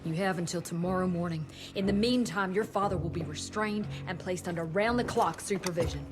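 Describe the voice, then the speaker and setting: Low-voiced, brusque woman scientist, acted, over music. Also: a little fast, lightly acted. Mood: cold, stern. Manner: formal, precise, clipped. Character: brusque, bureaucratic woman doctor and government scientist. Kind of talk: scripted video game cutscene acting. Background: background music.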